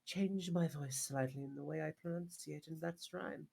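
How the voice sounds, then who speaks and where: A woman's voice: low-pitched, normal speed, gravelly, a crowd in the background. A woman with an English accent, chatting casually as a player in a tabletop game group. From England. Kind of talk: casual chat.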